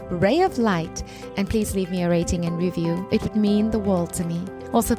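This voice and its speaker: A woman hosting a podcast, reading a guest introduction and then interviewing her guest in an encouraging way. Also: medium pitch. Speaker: podcast host. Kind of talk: casual interview.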